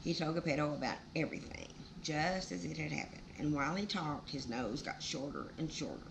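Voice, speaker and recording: A woman's voice: low-pitched, animated, a little fast, background noise. A woman reading a children's storybook aloud, with folksy, exclaiming asides to the listener. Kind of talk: animated storybook read-aloud with chatty asides.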